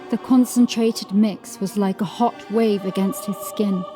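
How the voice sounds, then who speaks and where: A woman reading scripted horror fiction as narrator, in a dramatic, measured storytelling manner. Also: medium pitch, fairly even intonation. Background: music.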